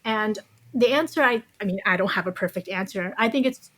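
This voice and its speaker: A woman gives considered answers in a conversational podcast interview. Her voice sits at a middling pitch, and she qualifies her points with care. The sound is dry and free of room echo. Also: fairly quick, fairly bright tone. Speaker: podcast guest, author.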